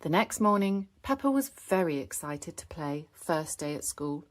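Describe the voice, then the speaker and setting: Casting: female storybook narrator, slightly low register, animated and expressive scripted delivery for young children. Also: measured pace.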